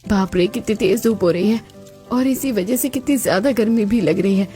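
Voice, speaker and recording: A woman's voice: medium pitch, normal speed, dark tone, faint music behind, close to an everyday voice. A woman playing a capable, long-suffering young daughter-in-law in a cartoon story. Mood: overheated, exasperated.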